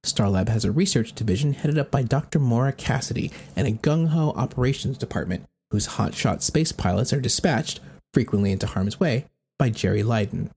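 A man's voice: medium pitch, lively intonation, brisk, a little mellow, slightly rough. An American man hosting a podcast, reading scripted narration. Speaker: podcast host.

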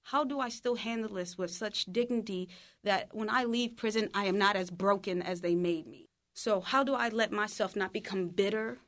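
A woman's voice: medium pitch, moderate pace, fairly bright tone. Earnest woman sharing her prison experience. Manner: earnest and reflective. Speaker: formerly incarcerated interviewer. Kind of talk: conversational interview.

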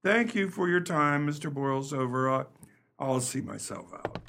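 A man's voice: mid-range voice, normal speed, gravelly, a bit bright. A man acting in a radio play as a plodding, deferential police detective, polite and methodical, with a hesitant delivery.

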